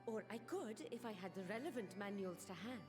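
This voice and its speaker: A woman's voice: medium pitch, animated, a little fast, over music, lightly acted. An actress voicing a polite, eager scholarly woman in a video game, with courteous, formal phrasing. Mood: politely expectant. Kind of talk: scripted video game voice acting.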